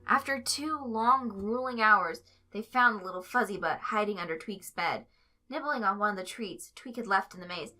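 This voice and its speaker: A woman reading a story aloud as an expressive narrator, following a script. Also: medium pitch, moderate pace, faint music behind, rough recording. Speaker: narrator reading fan fiction.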